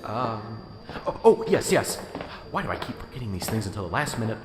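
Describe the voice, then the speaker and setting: A man's voice: fairly low pitch, sing-song, reverberant, in a large hall, noisy background. A man doing a stammering, nervous professor. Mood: flustered. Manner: flustered, stammering, halting. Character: nervous, absent-minded male professor. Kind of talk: amateur radio drama acting.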